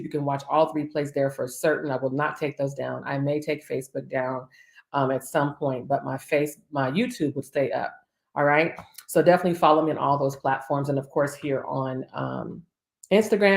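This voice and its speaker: A woman preacher and life coach hosting a live-streamed daily devotional. She is chatty and upbeat, greets viewers, and her voice sounds deeper and rougher than usual this morning. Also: a little mellow. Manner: chatty and upbeat, greets viewers by name, drawn-out emphatic phrases. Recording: echoey room.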